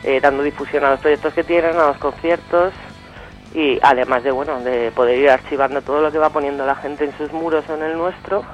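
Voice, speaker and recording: A woman with a Spanish accent from Spain talks as a guest in a casual interview. Her voice sits fairly low for a woman, and her manner is colloquial and enthusiastic. Quiet music plays behind her. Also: flat delivery, a little fast, highs cut off. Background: faint music.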